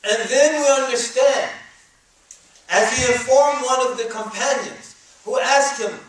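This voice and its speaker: A man preaching a sermon with a high, ringing voice, reciting verses quickly in a second language and pressing his points with rising emphasis. He is picked up from some distance, and his voice booms around a very echoey room. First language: English.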